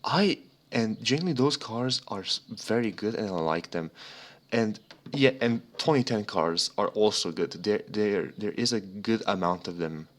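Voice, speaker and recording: Young male podcast co-host, slightly low pitch, profane, meandering, off-the-cuff delivery. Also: expressive, moderate pace. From Serbia. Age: young adult. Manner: rambling, profane. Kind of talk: casual motorsport podcast chat. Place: remote voice chat.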